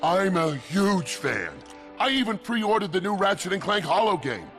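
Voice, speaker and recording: An actor playing a boastful, excitable male alien convict in a video game, with a gravelly voice. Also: high voice, normal speed, soft background music, exaggerated voice. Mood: starstruck, excited.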